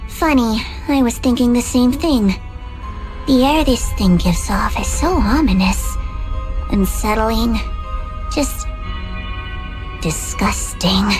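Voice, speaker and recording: A woman's voice: a little high, lively intonation, slow, long pauses, over music. An actress voicing a self-assured, world-weary woman fighter in a video game, dry and scornful. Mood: wary.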